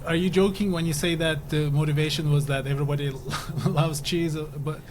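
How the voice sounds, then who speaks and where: A man's voice: a little high, fairly even intonation, a little fast. A man from Turkey hosting an interview podcast, chatting casually with his guest. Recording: clearly noisy background.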